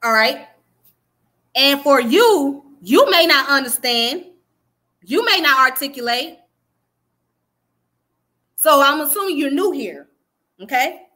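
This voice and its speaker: A woman's voice: high-pitched, lively intonation, takes it slowly, long pauses, bright, forceful tone. A woman in her thirties with a Southern US accent, giving an emphatic casual livestream history talk to her viewers and checking in with them often. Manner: emphatic, preachy, keeps checking in with "okay?". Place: small room indoors. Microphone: webcam close up.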